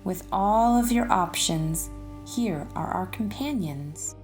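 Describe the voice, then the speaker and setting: Female storybook narrator for kids, lively pitch swings, unhurried pacing, music underneath. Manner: animated, deliberate, addressing young listeners. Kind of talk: expressive rhyming read-aloud for children.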